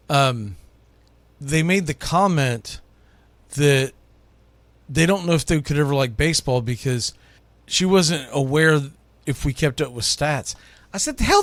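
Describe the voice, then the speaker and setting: Emphatic male sports host, higher-pitched voice. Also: a bit bright, no room sound. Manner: emphatic, with dramatic pauses and punched phrases. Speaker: baseball radio host and play-by-play announcer. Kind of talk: opinionated sports monologue, counting down a list.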